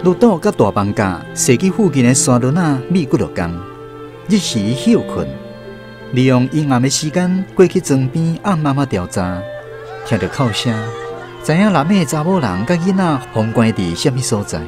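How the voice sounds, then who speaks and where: A man's voice: medium pitch, big pitch swings, moderate pace. A man with a Taiwanese accent narrating a radio drama in a dramatic storytelling style. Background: prominent background music.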